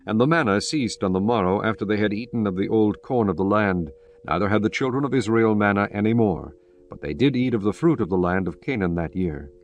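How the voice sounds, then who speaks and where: A man narrating scripture in measured, steady King James English. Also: on the low side, muffled recording, slight background noise. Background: guitar music.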